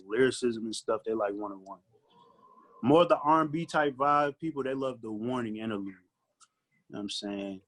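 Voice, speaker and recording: A man with a mid-pitched voice chats as an interview guest. He is a recording artist, and his talk is casual, conversational and full of slang.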